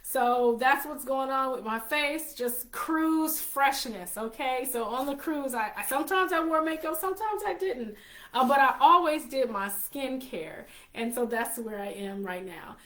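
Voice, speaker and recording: A woman's voice: sits high, unhurried, a bit bright. Chatty congested lady hosting her home show, keeps repeating herself, bit of an echo. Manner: chatty, repeats and restarts phrases. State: congested, slight cough. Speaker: host. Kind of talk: casual live-show chat. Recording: roomy echo.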